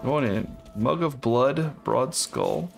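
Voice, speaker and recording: A man's voice: medium pitch, lively intonation, slow pace, gravelly, music in the background. A man giving casual gameplay commentary, thinking aloud in short phrases. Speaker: gamer, co-op let's player.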